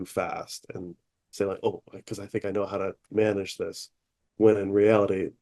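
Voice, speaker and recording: Deep-voiced man in relaxed chat. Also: moderate pace. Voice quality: relatively deep voice. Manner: reflective, candid, frequent fillers. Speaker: therapist and podcast co-host. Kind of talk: casual chat.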